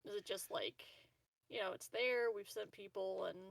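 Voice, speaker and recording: A woman with a creaky, mid-pitched voice chats casually as a tabletop game player. She is hesitant and wordy with a wry edge, recorded a little off the mic in a very dry space. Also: normal speed, dry recording, distant mic.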